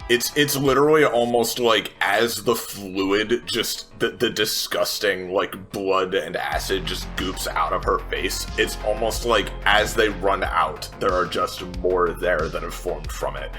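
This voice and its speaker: A man's voice: sits low, measured pace, few pauses, bright, forceful tone, soft background music. A man playing a tabletop game, describing his character's actions in casual, emphatic, piecemeal phrases.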